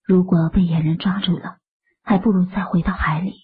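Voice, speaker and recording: A woman voicing a husky, hushed young castaway. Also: on the low side, steady tone, brisk, phone-quality sound. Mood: uneasy whisper. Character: young woman stranded on an island, anxious. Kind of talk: audio drama acting.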